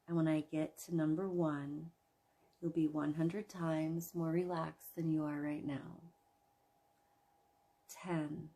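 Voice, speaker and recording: A woman leading a guided imagery relaxation in a soothing, gentle manner, leaving long pauses between phrases. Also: low-pitched. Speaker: guided meditation host.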